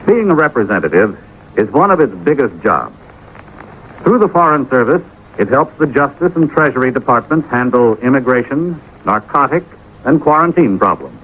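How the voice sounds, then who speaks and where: An American man giving a folksy, conversational scripted public service message as a 1950s radio announcer. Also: medium pitch. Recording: dull, slightly noisy old radio recording.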